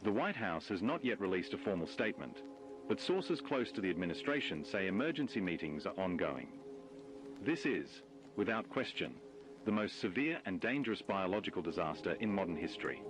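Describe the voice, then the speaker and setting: A man's voice: low-pitched, very animated, fairly quick. A man playing a grave news anchor, reading a scripted bulletin about a catastrophe in an analog horror video. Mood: grave, urgent. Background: music.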